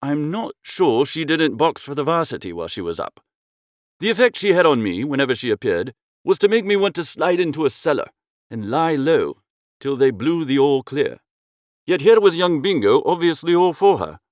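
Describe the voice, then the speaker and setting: A man narrating a comic first-person story in an expressive, breezy and theatrical way. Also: on the high side, normal speed, no echo, clean studio sound. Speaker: audiobook narrator.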